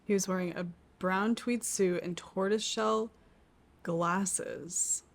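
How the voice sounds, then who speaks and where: A woman's voice: mid-range voice, fairly even intonation, slow, a crowd in the background. A woman co-hosting a book channel, chatting casually and reacting expressively, as if playing a game with a friend.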